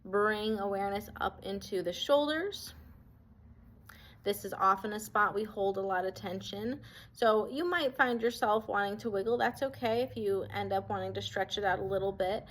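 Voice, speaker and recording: A woman leading a guided body scan meditation as an instructor, speaking in a gentle, reassuring way that gives permission. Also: mid-range voice, normal speed.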